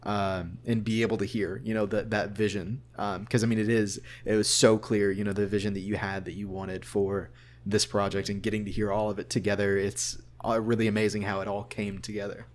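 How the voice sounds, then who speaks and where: Male voice actor, lowish pitch, earnest and conversational, an animated storyteller in an informal interview. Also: fairly quick. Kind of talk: casual anecdotal interview chat.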